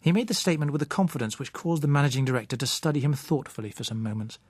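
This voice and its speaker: A British man narrates a novel in a medium-pitched voice. His delivery is measured and literary, with a dry wryness. The recording is very dry and free of room sound. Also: expressive, slightly rough, no echo. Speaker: audiobook narrator.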